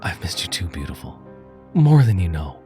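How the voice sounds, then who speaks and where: A man voicing a shaken, desperate male gambler in a horror story, speaking haltingly. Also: low-pitched, very animated, dark tone, faint music behind. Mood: wary, yearning. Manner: halting, stammering.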